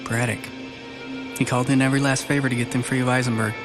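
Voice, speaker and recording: A male actor as a wry, practical soldier sidekick in a video game. His voice sits at a middle pitch, with clear music and vehicle noise behind it. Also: flat delivery, fast talker, lightly acted. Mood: matter-of-fact. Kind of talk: dramatic video game acting.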